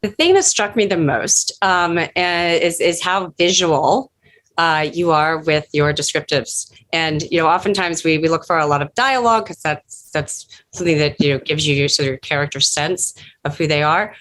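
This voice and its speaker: A woman's voice: deep voice, moderate pace, fairly bright tone, low-quality recording. A woman speaking as a producer giving casual, thoughtful feedback with emphatic points.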